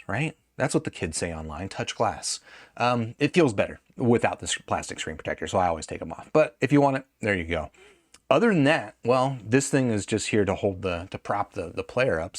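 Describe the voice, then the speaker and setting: Low, dry-sounding man reviewing casually. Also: dry recording. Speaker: product reviewer and host. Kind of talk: casual unscripted audio gadget review.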